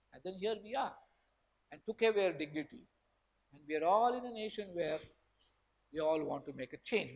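A middle-aged Indian man lectures from the stage of a large hall, his voice pitched high and bright. He speaks with passion and swings between loud emphasis and quiet asides. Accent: Indian English, Karnataka. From India, from Karnataka. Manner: passionate, emphatic, rises and falls in loudness, gestures while speaking. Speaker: guest lecturer, professor. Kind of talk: institute lecture to students.

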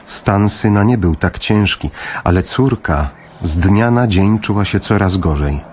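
A man narrating a literary radio drama in the first person, in a measured, somber manner. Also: deep voice, animated, darkish tone, slight background noise.

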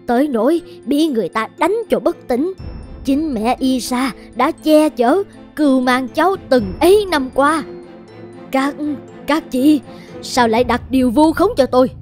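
A woman's voice: sits high, darkish tone. A woman voicing an indignant, protective village woman in a cartoon melodrama, emphatic and reproachful.

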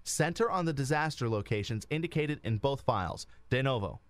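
A man's voice: mid-range voice, lively intonation, fairly quick, noisy background, natural voice. A man playing a stern, preachy investigator in a sci-fi audio drama, speaking in a formal, commanding way. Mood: focused. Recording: music behind the dialogue.

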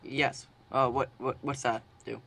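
A young man on a call, asking halting, stumbling questions as a customer. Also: medium pitch, level tone, creaky, bright, forceful tone. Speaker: prank caller. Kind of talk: hesitant phone conversation. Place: online voice call.

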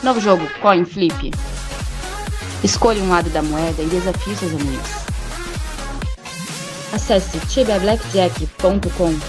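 A woman's voice: fairly low pitch, measured pace, pauses often. A woman with a Brazilian accent delivering a promotional voice-over as an advert announcer. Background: electronic music.

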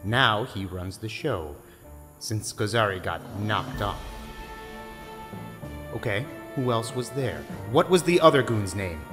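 A man's voice: lowish voice, animated, slow pace, long pauses. An actor playing a stern, demanding lawman questioning a prisoner in an audio drama. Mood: stern, probing. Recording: long hall reverb, faint music.

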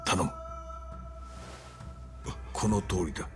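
An actor playing an older, solemn, commanding crime patriarch in a game drama, speaking gravely and deliberately. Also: male voice, low-pitched, over music. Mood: intense, pleading. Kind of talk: cinematic game drama acting.

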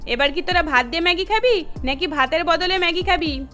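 A woman acts out a fed-up middle-aged mother for a cartoon. She nags fretfully in a high voice. Soft music runs underneath. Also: brisk. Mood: exasperated. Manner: nagging, fretful. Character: exasperated middle-aged mother of two daughters. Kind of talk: children's cartoon voice acting.

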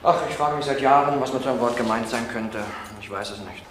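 A male actor plays a calm, defiant young man in a radio crime drama, philosophical and pedantic. He speaks briskly at a medium pitch. The recording is roomy and clearly noisy. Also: lightly acted. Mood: reserved, quibbling. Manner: pedantic, philosophical, answers questions with questions.

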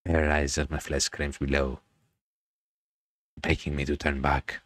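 A man hosting a gaming video, reading a visual novel aloud in a dramatic, drawn-out storytelling way with casual commentary. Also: a bass voice, level tone, fairly bright tone, no room sound, clean studio sound.

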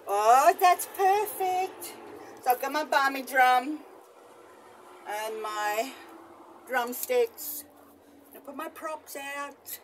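A middle-aged woman chatting casually to a phone camera outdoors in a rambling, gently enthusiastic way, with exclamations. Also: high voice, very slow, long pauses. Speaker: vlogger, spiritual practitioner. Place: outdoors in bush and a shallow rock cave. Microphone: phone on selfie stick with attached microphone. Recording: noisy outdoor phone recording, traffic ambience.

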